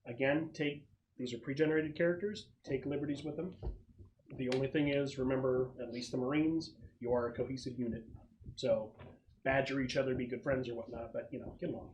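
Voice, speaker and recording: A man's voice: medium pitch, steady tone, fairly quick, a little mellow. Man running a game, noisy recording. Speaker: game master. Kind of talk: casual game briefing and explanation. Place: casual online or home gaming session.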